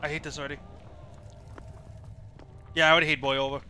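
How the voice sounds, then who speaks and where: A man's voice: on the high side, little pitch movement, fairly quick, pushed and bright. A young man giving casual, off-the-cuff gameplay commentary as a streamer. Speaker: game streamer. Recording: noisy stream with game sounds.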